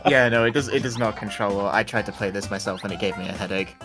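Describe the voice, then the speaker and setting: A man's voice: fairly low pitch, fast, music in the background. A man co-commentating casually on a game in a dry, sarcastic way.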